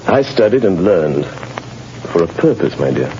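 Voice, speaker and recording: Deep, suave gentleman crimefighter. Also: big pitch swings, normal speed. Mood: earnest, resolute. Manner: urbane, grave, theatrical. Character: suave, determined gentleman crimefighter with a secret identity. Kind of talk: 1930s radio drama acting. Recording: dull, noisy 1930s radio recording.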